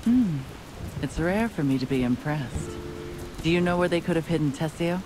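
A woman playing a cool, commanding leader in a video game, with measured, formal speech. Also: low voice, animated, rough recording. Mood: cool, assured. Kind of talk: video game character acting. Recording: music and rain behind.

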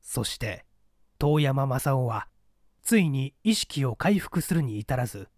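A man reading a mystery story aloud in an expressive, scripted narrator's delivery. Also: medium pitch, unhurried, no room sound, clean studio sound. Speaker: audiobook reader, small-theatre actor.

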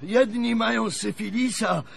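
An actor playing a weary, grumbling old grandfather in a radio play, fatalistic but fond, with a slow folksy lament. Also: high voice, heavily acted. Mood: resigned, confiding. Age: older. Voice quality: creaky. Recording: music under the voice.

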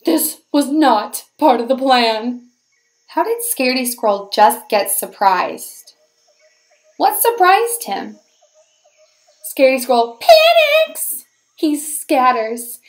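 A woman reading a children's picture book aloud as a teacher, in an expressive, deliberate storytelling manner for young children. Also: high-pitched.

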